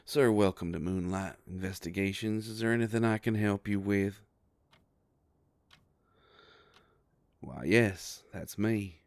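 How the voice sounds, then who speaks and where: A man playing a gruff, protective werewolf private investigator in a dramatic audio roleplay, with a gravelly voice and a slow, menacing, sardonic, folksy tough-guy delivery. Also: lowish voice, no echo, natural voice. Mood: curt, confrontational.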